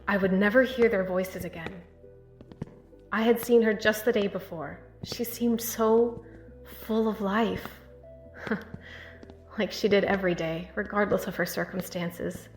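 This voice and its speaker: A woman's voice: medium pitch, close to an everyday voice. An actress plays a small-town sheriff in an audio drama, a protective woman with old grief. She speaks earnestly and steadily in a middle register. The sound is very reverberant, like a tunnel, with faint music underneath. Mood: sorrowful, haunted. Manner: measured, earnest, halting when emotional.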